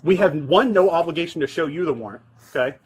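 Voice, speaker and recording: A man's voice: fairly high pitch, lively intonation, fast talker, husky, poor audio. A police officer explaining an arrest to a resident at night, firm and methodical, often checking "okay?", picked up on his body-worn camera. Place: at a house at night.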